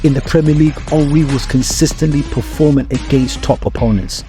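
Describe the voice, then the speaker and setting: A man's voice: a little high, fairly even intonation, a little fast. A man delivering scripted sports analysis as a video narrator, with emphatic phrasing and rhetorical questions to the viewer. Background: music.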